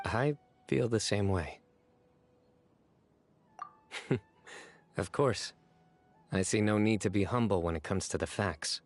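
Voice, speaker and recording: Stern young game guardian: lowish voice, measured and deadpan, self-assured, music underneath. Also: male voice. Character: stern, composed young male guardian, quietly fond of friends. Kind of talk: game voice acting.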